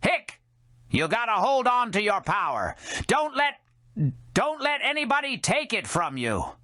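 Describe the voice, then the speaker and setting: A man's voice: very high voice, wildly swooping pitch, a little slow, bright, forceful tone, no room sound, clean studio sound, voice pushed up, heavily acted. A man voicing a boastful, muddled drunken woman with slurred, hiccuping speech in a comic storybook tale. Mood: drunken pep talk.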